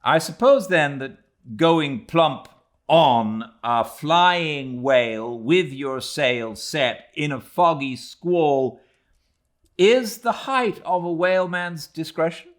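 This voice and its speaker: A man's voice: fairly high pitch, lively intonation, slow, pitched above the performer's own voice. A man voicing an earnest, incredulous young sailor in an audiobook, speaking in an emphatic, wryly formal, theatrical way.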